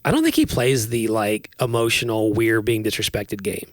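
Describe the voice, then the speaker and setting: A man's voice: lowish voice, normal speed, no room sound. An American man in his forties chatting casually as a podcast co-host, rambling and joking, close on the microphone. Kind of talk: casual chat. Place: small home room, indoors. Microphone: close podcast microphone on boom arm.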